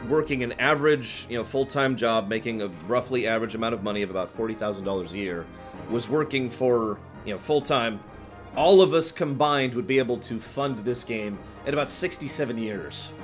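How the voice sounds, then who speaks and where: A man's voice: mid-range voice, brisk. A man hosting a live stream, chatting casually with viewers in an emphatic, opinionated way full of conversational asides. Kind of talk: casual livestream talk to chat, answering viewer questions. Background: background music.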